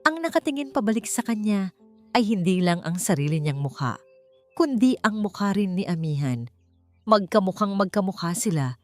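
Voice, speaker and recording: A woman's voice: medium pitch, darkish tone. A woman reads a scripted dramatic story as its narrator. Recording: music behind the voice. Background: background music.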